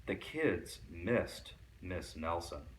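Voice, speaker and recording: Casting: male picture-book reader, slow delivery with very lively pitch, faint noise behind. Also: distant mic, poor audio. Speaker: read-aloud storyteller. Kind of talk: animated picture-book reading.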